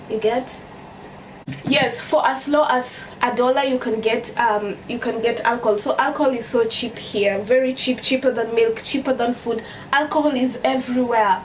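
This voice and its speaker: A young woman from Kenya with a Kenyan accent, chatting casually as a vlogger and repeating phrases for emphasis. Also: on the high side. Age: young adult. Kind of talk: casual storytime chat to camera. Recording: distant mic with background noise.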